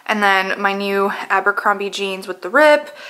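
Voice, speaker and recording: A woman's voice: medium pitch, moderate pace, pushed and bright, rough recording. Chatty young woman vlogging. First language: Polish. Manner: chatty, self-mocking, sprinkled with likes and ums. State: slightly hoarse. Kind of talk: casual vlog chat to camera. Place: apartment living room, car and store. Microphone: handheld camera close up.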